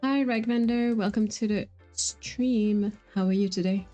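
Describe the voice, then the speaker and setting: A woman's voice: medium pitch, steady tone, measured pace, mellow, lo-fi sound. A woman streaming video games, chatting casually with viewers and reacting to the game. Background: faint game music.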